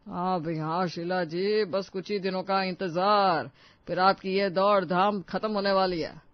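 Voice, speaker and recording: A woman voicing a genial middle-aged father with drawn-out, placating delivery in a family story. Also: on the low side, steady tone, no room sound, lo-fi sound, lightly acted. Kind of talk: dramatized family storybook dialogue.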